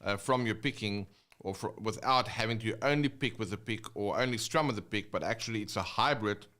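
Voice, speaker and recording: A man with a South African accent teaching guitar techniques, explaining step by step in a relaxed way between demonstrations. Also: lowish voice, fairly quick. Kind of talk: instructional talk with guitar demonstrations. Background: his own guitar playing between phrases.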